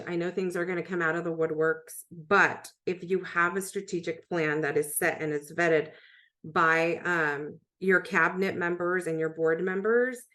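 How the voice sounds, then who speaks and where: A woman's voice: lowish voice, a bit bright. A woman answering questions as a panelist in a conference session, giving informal advice in a direct, emphatic way. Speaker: panelist, school district communications leader.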